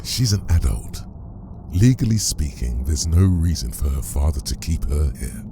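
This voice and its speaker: An actor playing a steadfast, protective priest in an audio drama, terse, blunt and firm. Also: male voice, rumbling low, sing-song, moderate pace, creaky, background noise, full character voice. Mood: firm, resolved. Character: steadfast, protective male priest, blunt and quietly warm-hearted.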